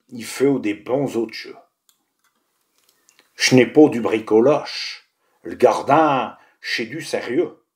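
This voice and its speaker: A man's voice: medium pitch, a little mellow. A man with a Picard accent from the Tournai area, reciting a monologue in dialect. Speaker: monologue performer.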